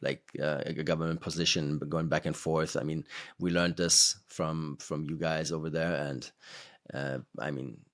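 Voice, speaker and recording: A man's voice: rumbling low, steady tone, normal speed. A man hosting a podcast, rambling in casual conversation with many fillers. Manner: rambling, filler-heavy, laughs between points.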